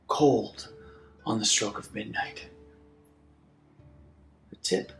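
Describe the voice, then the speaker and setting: A man's voice: on the high side, pushed and bright. A man reading a poem dramatically in an echoey room. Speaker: poem reader.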